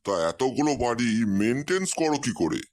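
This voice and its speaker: A man's voice: medium pitch, very animated, brisk, darkish tone, lightly acted. Man doing a nosy genial older uncle, comedy cartoon, super easily impressed. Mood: impressed, inquisitive. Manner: approving, inquisitive, repeats exclamations. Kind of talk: comic cartoon dialogue.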